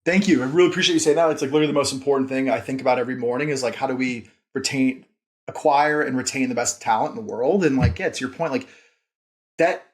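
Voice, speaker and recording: A man's voice: mid-range voice, fast. A man speaking as a founder and podcast guest, informal and conversational, repeating phrases for emphasis and leaning on "like". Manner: informal, frequent "like", emphatic repetition. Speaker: company founder and CEO, interview guest.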